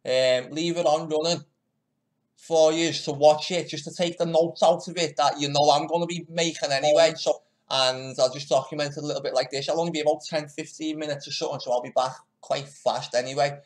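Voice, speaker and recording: A man's voice: on the high side, steady tone, brisk. A man hosting a live stream, talking over a news broadcast with scornful, crude commentary.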